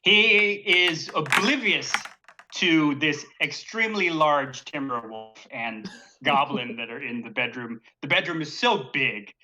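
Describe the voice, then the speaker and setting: A man's voice: fairly high pitch, expressive, takes it slowly, noisy background. A man narrating as dungeon master in a tabletop game stream, rambling with many fillers and chuckles. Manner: rambling, hesitant, frequent fillers and laughter.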